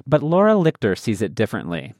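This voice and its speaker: Low-voiced male public radio host. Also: huge pitch swings, a little mellow, no room sound, clean studio sound. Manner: measured, scripted delivery. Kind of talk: public radio reporting and interviewing. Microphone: studio microphone.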